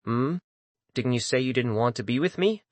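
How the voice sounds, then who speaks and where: A man voicing a calm young male master in a dramatized audiobook, casual and mockingly polite as he taunts. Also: medium pitch, normal speed, no room sound, close to an everyday voice. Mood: feigned puzzlement.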